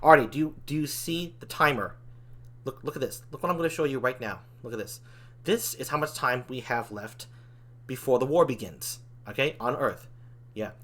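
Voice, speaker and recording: A man acts out an excitable demon in improvised comedy. His voice is bright and forceful, leaping around in pitch. He rambles theatrically, swears freely and stays chummy even in a panic. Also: normal speed. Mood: pensive. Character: excitable male demon, donut-loving, foul-mouthed, panicky, chummy. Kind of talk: improvised comic role-play.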